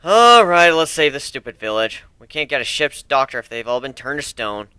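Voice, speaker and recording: A man's voice: medium pitch, sing-song, moderate pace, pushed and bright, clear, resonant tone, dry recording. A man voicing a brash, sarcastic, taunting and exuberant male griffin pirate captain in a dramatic story reading. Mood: commanding.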